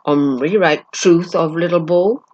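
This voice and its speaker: A woman's voice: lowish voice, a little slow, darkish tone, dull audio. A woman voicing a proud, stern warrior chief in a storybook, with clipped, emphatic broken English. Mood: bitter, gruff.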